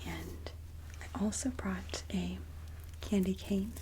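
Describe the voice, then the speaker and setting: A woman speaking softly and breathily, often near a whisper, in a gentle, polite ASMR roleplay as a kindly Mrs. Claus. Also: mid-range voice, steady tone, slow, slight background noise, poor audio. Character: kindly Mrs. Claus interviewing a job applicant. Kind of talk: soft-spoken ASMR roleplay interview.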